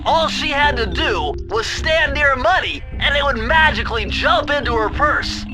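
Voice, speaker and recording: A man's voice: extremely high pitch, lively intonation, normal speed. A man voicing a nerdy, anxious turtle sidekick in a cartoon game, nasal, fussy and pedantic. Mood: excited. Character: nerdy, anxious, bookish young male turtle brainiac sidekick. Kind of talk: cartoon video game acting. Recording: music behind the voice.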